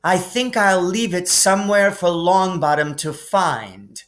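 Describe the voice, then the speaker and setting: A man's voice: sits high, unhurried, a bit bright, voice pushed up. A man voicing a sneering, mocking schoolboy bully who goads others, in a storybook reading. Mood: nasty teasing. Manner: mocking, goading.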